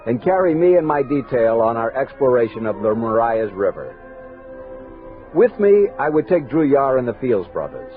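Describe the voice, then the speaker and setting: A man plays a commanding frontier captain in a vintage radio drama. His delivery is formal and measured but very animated in pitch. The recording is thin and narrow, with music behind. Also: natural voice. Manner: authoritative, formal, measured storytelling. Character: steady, commanding male army captain narrating his frontier journal. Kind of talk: 1960s radio drama acting and narration.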